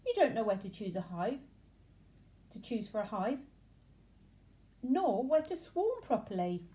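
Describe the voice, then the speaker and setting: A woman voicing quarrelsome, opinionated bees in a children's story, shouting and buzzing fiercely. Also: a little high, sing-song, unhurried. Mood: jeering.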